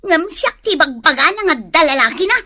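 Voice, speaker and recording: A woman's voice: very high voice, sing-song, brisk, bright, forceful tone, exaggerated voice. A woman acting an upset, lovesick beauty parlor worker in an Ilocano radio comedy drama, plaintive and dramatic. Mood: upset, tearful. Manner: plaintive, whining.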